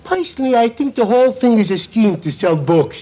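An actor playing a dim-witted, amiable tavern regular with a goofy, simple-minded delivery in 1950s radio comedy. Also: male voice, extremely high pitch, lively intonation, a little fast. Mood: cheerfully dim. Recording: muffled old radio broadcast, faint noise.

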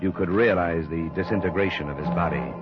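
Over a muffled vintage radio broadcast with swelling music comes a composed male investigator played by an actor. His low voice is terse and deadpan, delivered in the measured manner of a hard-boiled detective serial. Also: lightly acted. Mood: grave narration. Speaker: actor playing the lead and narrator. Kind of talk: 1950s radio detective drama, first-person narration.

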